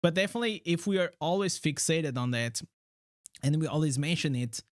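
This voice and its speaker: A man from Portugal with a Portuguese accent, hosting a casual livestream chat in a halting way, full of fillers and restarts. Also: fairly high pitch, lively intonation, dry recording, studio quality. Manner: halting, many fillers and restarts, laughs often. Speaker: streamer host.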